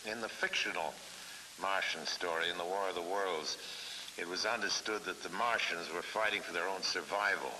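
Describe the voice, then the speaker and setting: A middle-aged man narrating a scripted science documentary in a measured, dramatic way, with weighty pauses. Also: sits low. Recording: hissy old film soundtrack.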